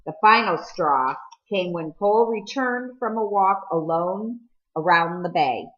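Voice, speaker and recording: Low-voiced woman reading a story, slightly dull sound. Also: animated, a little slow, fairly bright tone. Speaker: audiobook reader. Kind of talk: scripted narration of a novel.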